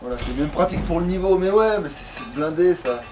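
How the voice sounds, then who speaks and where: Higher-voiced man commenting on his game. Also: lively intonation, fairly quick, darkish tone, soft background music. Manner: sweary, slangy, thinking aloud. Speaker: let's play gamer. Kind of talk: casual gameplay commentary. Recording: noisy home gaming capture.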